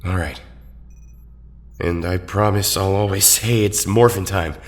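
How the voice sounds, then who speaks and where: Man as a deep-voiced, reserved young hero. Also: steady tone, a little slow. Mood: sheepish. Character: earnest, apologetic, reserved young male team leader. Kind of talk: audio drama acting. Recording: long hall reverb.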